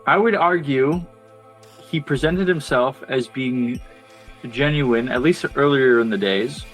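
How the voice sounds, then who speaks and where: A man's voice: mid-range voice, measured pace, fairly bright tone, soft background music, poor audio. A man guest on a podcast, explaining ideas step by step in casual talk with frequent fillers and asides. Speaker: podcast guest, marketing strategist. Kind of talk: casual advice-giving conversation.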